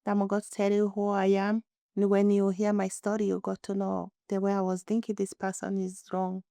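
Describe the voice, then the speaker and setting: Rwandan-born woman, creaky low-key voice, simple halting english, earnest. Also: monotone, dark tone, no room sound. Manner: plain, earnest, halting non-native phrasing. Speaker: interviewee. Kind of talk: personal storytelling.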